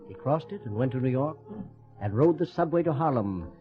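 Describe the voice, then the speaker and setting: A man's voice: mid-range voice, animated, brisk, natural voice. A man narrating a radio drama as a solemn, lyrical storyteller, with poetic scripted delivery. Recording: muffled old radio recording, music behind.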